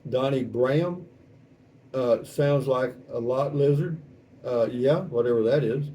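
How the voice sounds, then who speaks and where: A man's voice: mid-range voice, unhurried, warm tone. A man in his seventies with a Texan accent, chatting casually and reading out comments in a folksy, rambling, wry way. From South Texas, United States. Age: 70s. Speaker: vlog host. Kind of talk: casual chat, reading out and answering viewer comments. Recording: distant mic with faint background noise.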